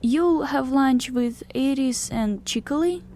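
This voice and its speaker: A woman's voice: on the high side, lively intonation, measured pace, a little hiss or hum. A woman voicing a fussy, affectionate young female harpy who talks in broken third-person speech in a fantasy audio story. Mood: pleading, shy.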